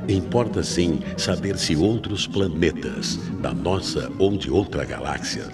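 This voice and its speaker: A Brazilian man narrating a scripted radio mystery drama in a solemn, theatrical manner with deliberate phrasing. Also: very deep voice. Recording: music behind narration. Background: music.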